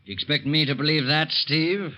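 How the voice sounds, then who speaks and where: An actor playing a blunt, suspicious police captain in a 1940s radio drama, asking dry, probing questions. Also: male voice, on the high side, normal speed, sharp tone.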